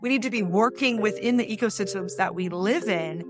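A woman's voice: mid-range voice, a little fast, music in the background. A woman, a natural resources specialist, explaining fire ecology at a conference panel with plain analogies.

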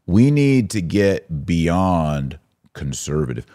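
A man's voice: low-pitched, very animated, takes it slowly, dark tone. A man hosting a podcast, giving opinionated live commentary in an emphatic way, with rhetorical questions and asides to his listeners. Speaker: podcast host.

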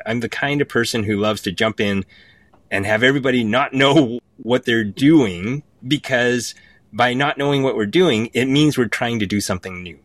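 Guy with a lowish voice, very dry sound, chatting keenly as a podcast guest. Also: fairly bright tone, no echo. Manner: enthusiastic, repeats words for emphasis. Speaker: writer, interview guest. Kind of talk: animated conversational storytelling.

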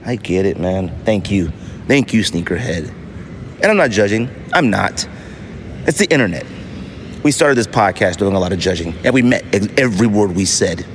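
Deep-voiced American man podcasting, noisy background. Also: normal speed. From United States. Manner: animated, slangy storytelling with mock voices for others; emphatic, with repetition for effect. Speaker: podcast host. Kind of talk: casual solo podcast talk.

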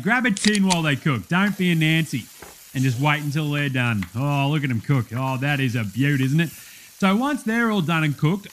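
A man's voice: high-pitched, lightly acted. A man voicing a brash, matey Australian bloke hosting a spoof cooking show, giving blokey, slangy, casual instructions. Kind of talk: parody TV cooking demonstration.

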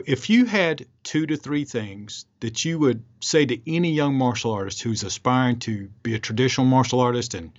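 A man hosting a podcast interview, speaking slowly and thoughtfully in a relaxed, agreeable way. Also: mid-range voice. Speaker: podcast host. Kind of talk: casual interview conversation. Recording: muffled audio.

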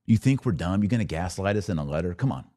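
Very deep-voiced American man, sarcastic commentator. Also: expressive, fast, dark tone, no echo, studio quality. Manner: sarcastic, emphatic, repeats phrases for effect. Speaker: podcast host and commentator. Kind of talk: opinionated solo podcast commentary, reading quotes and reacting.

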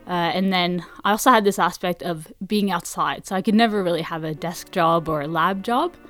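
A woman from Norway, a researcher giving measured, factual explanations as an interview guest. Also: lowish voice, a bit bright, faint music behind.

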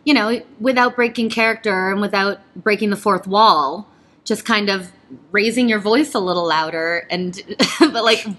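A woman guest on a podcast, a stage performer, telling stories in an animated way and laughing often. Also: medium pitch, normal speed. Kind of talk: casual conversation.

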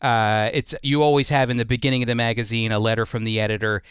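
A man's voice: medium pitch, level tone, fast talker, smooth, no echo, clean studio sound. A man hosting a radio interview, talking conversationally in an earnest, emphatic manner. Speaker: radio host.

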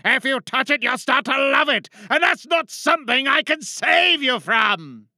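A woman's voice: high-pitched, lively intonation, unhurried, sharp tone, no echo, exaggerated voice. A woman playing a stern, paranoid female spaceship captain in a dramatic monologue, commanding and pleading by turns with theatrical urgency. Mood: alarmed, commanding.

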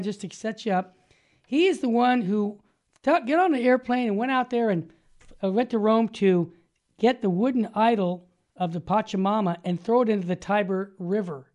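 A man's voice: sits high, a little mellow. An older man co-hosting a radio talk show, upbeat and emphatic, delivering punchy slogans and promos.

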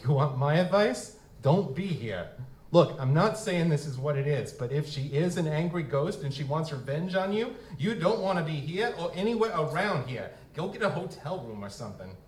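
A man as a world-weary, mellow noir private eye. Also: a little high, lively intonation, moderate pace, close to an everyday voice, low-quality recording. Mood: firm. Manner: wry, slangy, hard-boiled. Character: world-weary male lutin private investigator, gruff and cynical. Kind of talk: noir detective narration in live audio drama. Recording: live convention performance, clear background noise.

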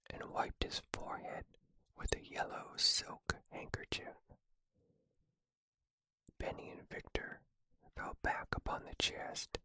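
A storyteller reading a tale entirely in a whisper, measured and deliberate, in an ASMR style. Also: sharp tone, no room sound, low-quality recording.

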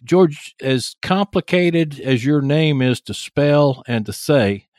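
A man's voice: a little high, slow pace, a little mellow, no echo, studio quality. A man with a Texan accent hosting a podcast in a folksy, joking way, moving between interview chat and sponsor reads. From Texas, United States. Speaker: podcast host.

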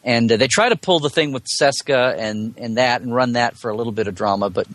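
A man with a mid-pitched voice talks as a guest in a casual interview. He explains at length in a chatty way and drops in joking asides. The sound is dry, with little room in it. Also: fairly quick, a bit bright, dry recording. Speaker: returning podcast guest.

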